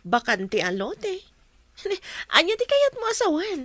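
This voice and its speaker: An actress playing a teasing, excitable, nosy best friend in a radio drama. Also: female voice, very high voice, slow, no echo, heavily acted. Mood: playful, nosy. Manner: teasing, exclamatory.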